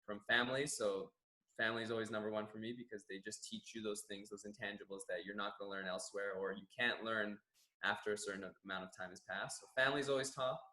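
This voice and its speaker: A man's voice: lowish voice, steady tone, quick pace, bright, forceful tone, reverberant, poor audio. A young Canadian man whose first language is Farsi, a gym general manager answering interview questions in an earnest, reflective way with many restarts. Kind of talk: staff profile interview answers.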